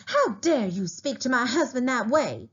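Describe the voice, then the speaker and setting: A woman's voice: a little high, big pitch swings, normal speed, breathy edge, muffled recording, pitched above the performer's own voice. A woman voicing an indignant, complaining older pioneer wife in a storybook reading, scolding and aggrieved. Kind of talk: children's historical novel read aloud.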